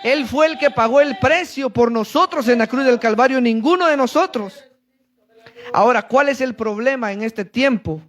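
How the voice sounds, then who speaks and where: A man's voice: extremely high pitch, normal speed, a bit bright. A man preaching a sermon to a congregation, reading verses and explaining them, calling for answers. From Central America. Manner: reads scripture then explains, asks congregation for answers.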